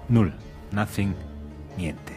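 An actor playing a matter-of-fact, resigned police detective in a radio crime drama, blunt and colloquial, with a Bavarian accent. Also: male voice, lowish voice, drawn-out words, over music.

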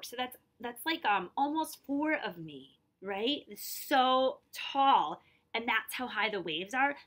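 A woman's voice: high voice, sharp tone. A woman in her forties reading a picture book aloud expressively, breaking off to explain and check in with listeners. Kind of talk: animated storybook read-aloud with explanatory asides.

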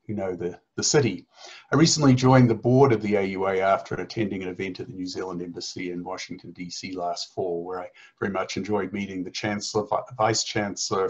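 A middle-aged man hosting an alumni webinar, reading formal introductions steadily over a video call. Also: on the low side. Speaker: event host introducing speakers. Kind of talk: read introduction. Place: indoor room with virtual city backdrop. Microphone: laptop webcam on video call. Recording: dull lo-fi call audio.

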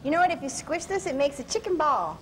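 Woman, cooking show co-host, high voice, playful jokester, noisy kitchen. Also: big pitch swings, fast talker. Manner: playful, squeamish, joke-telling. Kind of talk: comic cooking banter.

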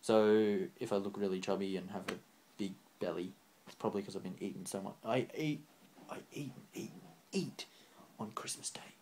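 A man's voice: lowish voice, normal speed, darkish tone, slight background noise, poor audio. Young man rambling into a webcam. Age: young adult. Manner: rambling, earnest, off the cuff. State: voice getting croaky. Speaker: channel host. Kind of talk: unscripted vlog sign-off. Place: small room indoors, on a couch.

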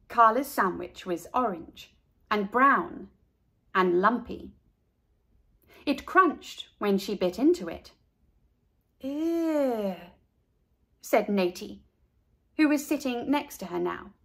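A woman narrating a storybook as an expressive read-aloud for children. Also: mid-range voice, slow pace, vocal fry, pauses often, slightly rough.

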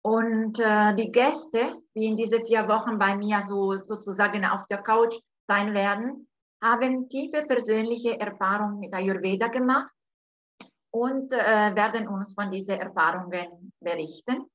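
Slow Italian-accented woman hosting online. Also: mid-range voice, a bit bright. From Italy. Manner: slow, hesitant with many fillers. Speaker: host. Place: home room, indoors. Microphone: video call. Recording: dull lo-fi video call audio.

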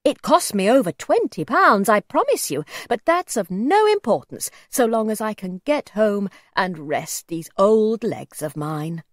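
A woman voicing a gentle, pleading, very old gentleman in a storybook, well-spoken and old-fashioned in his courtesy. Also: mid-range voice, big pitch swings, unhurried, hardly any pauses, dry recording, studio quality. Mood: persuasive. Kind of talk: storybook character voice.

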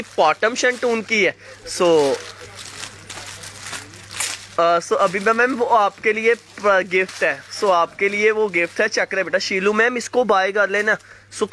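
High bright-voiced man selling clothes fast. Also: a little hiss or hum. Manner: fast hyped patter, addresses viewers by name. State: sore throat, coughing. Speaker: live-sale clothing seller. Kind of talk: live sales pitch to viewers. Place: indoor shop floor, clothes laid on mat.

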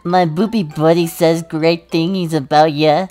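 A woman's voice: low voice, fairly even intonation, unhurried, warm tone, soft background music. A woman voicing a goofy, playful schoolgirl who talks in silly baby-talk for an anime parody dub. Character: goofy, playful schoolgirl with babyish mangled words.

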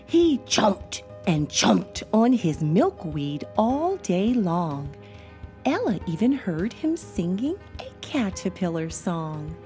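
A woman narrating a children's storybook as an expressive read-aloud. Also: fairly low pitch, slow pace. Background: soft music.

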